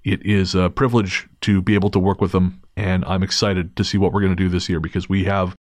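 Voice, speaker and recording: Deep-voiced candid male podcast host. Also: little pitch movement, brisk, no echo, studio quality. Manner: thoughtful, candid, self-deprecating. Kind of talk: casual podcast chat and read-out story.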